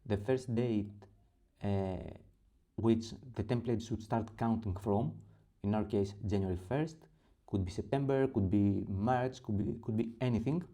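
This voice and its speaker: A man from Greece speaking English as his second language with a Greek accent, walking through a spreadsheet template in a halting tutorial full of pauses and fillers. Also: lowish voice, warm tone. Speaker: template creator. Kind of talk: screen-recorded software tutorial.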